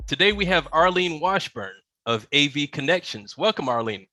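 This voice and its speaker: A man's voice: fairly high pitch, animated, moderate pace, sharp tone, no room sound. A man hosting a podcast, welcoming his guest and asking short, encouraging questions. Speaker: podcast host. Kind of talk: interview hosting.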